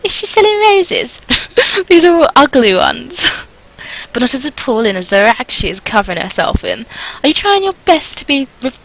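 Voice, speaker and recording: A woman's voice: high voice, very animated, normal speed, pitched above the performer's own voice, full character voice, low-quality recording. A woman voicing a haughty, mocking young noblewoman in a visual novel, theatrical and condescending. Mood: mocking disgust. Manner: theatrical, condescending, gushing cruelty.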